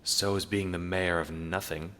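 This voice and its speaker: A man's voice: deep voice, flat delivery, normal speed, a bit bright, long reverb tail, slight background noise. A man voicing a tired, wary, downtrodden woman, a former postmistress, in a matter-of-fact, unimpressed manner for an audio drama. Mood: resigned. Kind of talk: audio drama character acting.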